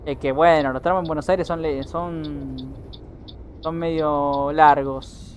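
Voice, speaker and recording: A man's voice: a little high, fairly even intonation, slow, slight background noise. An Argentine man with an Argentine accent giving casual live commentary while streaming a driving game, chatting with viewers and swearing now and then.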